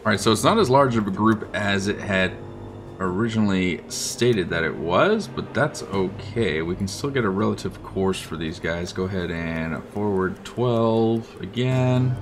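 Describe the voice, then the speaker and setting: A man giving casual, unhurried gameplay commentary, thinking aloud as he plans. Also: lowish voice, animated, over music. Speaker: gaming video host.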